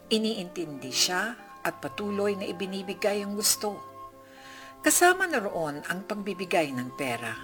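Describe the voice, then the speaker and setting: A Filipino woman hosting and narrating a scripted drama. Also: on the low side. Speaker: host and narrator. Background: music.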